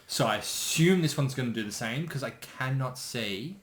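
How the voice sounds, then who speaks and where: A man co-hosting a casual reaction chat, speculating and thinking aloud in a halting way. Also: medium pitch, animated. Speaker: co-host, anime specialist. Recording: roomy, echoey sound.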